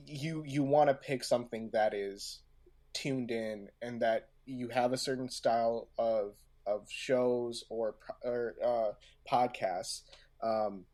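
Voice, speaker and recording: A man in a casual podcast discussion, speaking at a slow pace. He is halting, often doubles back on a phrase and searches for the next word. His pitch sits in the middle of the male range. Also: dark tone. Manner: halting, searching for words, often repeating himself. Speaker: podcast co-host. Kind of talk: casual discussion of audio drama craft.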